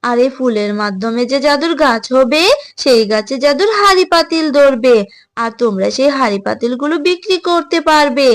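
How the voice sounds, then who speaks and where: High-voiced kindly magic tree played by a woman. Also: lively intonation, moderate pace. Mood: earnest, reassuring. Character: kindly magical talking tree, gentle helper of the poor. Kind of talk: cartoon voice acting.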